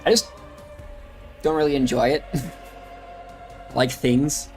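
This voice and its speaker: A man's voice: medium pitch, steady tone, takes it slowly. A man casually talking through crypto charts on a livestream, rambling with frequent fillers. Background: music playing.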